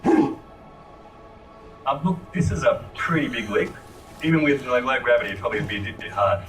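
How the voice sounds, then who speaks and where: A man's voice: mid-range voice, normal speed, far from the mic, soft background music, rough recording. A man casually talking while playing a game demo. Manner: relaxed, chatty. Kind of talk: live gameplay commentary.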